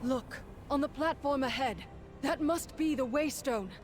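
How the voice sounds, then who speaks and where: A woman's voice: sits high, unhurried, darkish tone, faint music behind. A woman playing a resolute sorceress leading her allies in a fantasy game cutscene, earnest and authoritative. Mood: guarded, urgent.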